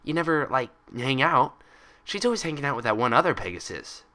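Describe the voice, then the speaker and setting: A man's voice: medium pitch, very animated, a little fast, bright, forceful tone, voice pushed up. A man voicing a hyper, cheerful, gullible young female cartoon pony in bubbly, rambling, childlike chatter. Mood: chatty.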